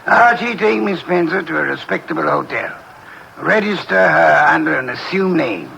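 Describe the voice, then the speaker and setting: Pompous, imperious private detective, bright gravelly voice, clipped formal diction, vintage radio mystery. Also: male voice, a little high, expressive, normal speed, lo-fi sound, full character voice. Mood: imperious, dry. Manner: pompous, curt, formal and precise wording. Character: pompous, sedentary, brilliant middle-aged male private detective. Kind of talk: 1950s radio mystery acting. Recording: vintage 1950s radio broadcast, faint hiss.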